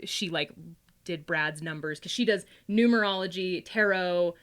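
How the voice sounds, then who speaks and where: A woman's voice: fairly low pitch, unhurried, fairly bright tone, smooth. A woman guest on a podcast telling stories casually and colloquially, peppering her talk with "like" and often giggling. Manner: giggly, laughs often while talking; colloquial, heavy use of "like". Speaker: podcast guest, psychic reader. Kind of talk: casual podcast chat, animated storytelling.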